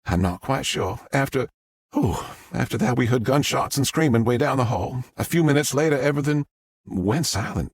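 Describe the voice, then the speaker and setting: A man voicing an excitable middle-aged Southern mother who rambles through her story in a drawl. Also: mid-range voice, normal speed, husky, no echo, voice pushed up. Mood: awed. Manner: rambling, breathless storytelling with restarts.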